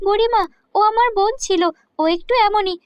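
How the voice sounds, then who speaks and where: A woman voicing a gentle, humble young schoolgirl in a children's cartoon, polite and pleading. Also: very high-pitched, normal speed, dry recording, lo-fi sound, voice pushed up.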